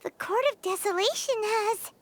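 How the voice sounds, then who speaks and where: A woman voicing a tiny, childlike fairy companion in a video game, curious and eager, squeaky and exclaiming. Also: normal speed, slight background noise. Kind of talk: scripted video game dialogue.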